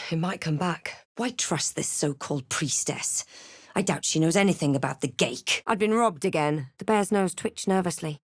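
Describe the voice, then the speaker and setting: A woman playing a snarky, wary female adventurer in a fantasy video game, with a crisp, terse and dramatic delivery. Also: lowish voice, no room sound, studio quality. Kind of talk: fantasy video game lines, short remarks and narrated thoughts.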